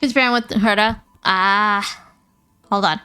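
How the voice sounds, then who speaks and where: A woman's voice: mid-range voice, fairly even intonation, a little slow, pushed and bright, clean tone. A woman hosting a gaming livestream, thinking aloud casually and chatting informally with her viewers, often repeating herself. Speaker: livestream host. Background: music.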